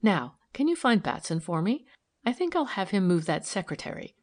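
Woman playing a composed, friendly, creaky-voiced lady. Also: mid-range voice, very animated, moderate pace, a little mellow. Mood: brisk, good-humoured. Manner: light, careless, friendly. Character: shrewd, friendly, composed woman investigator posing as seamstress.

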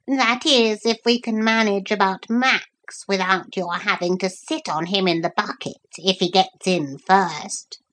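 A woman voicing a modest, kindly male parrot in a storybook reading, speaking slowly and gently. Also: mid-range voice, lightly acted. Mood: consoling. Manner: slow, gentle and consoling.

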